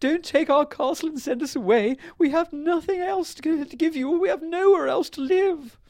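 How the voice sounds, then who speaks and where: Storybook queen, done by a male reader: very high falsetto, plaintive, wailing. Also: moderate pace, darkish tone, no room sound. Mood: pleading. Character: pleading, tearful queen in a storybook.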